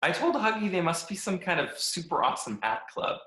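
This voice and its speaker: A man reading a children's storybook as a cheerful, oblivious talking stick, gushing with naive excitement. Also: high voice, fairly quick, pitched above the performer's own voice, exaggerated voice. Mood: gleeful. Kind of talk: animated children's storybook read-aloud. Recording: echoey room.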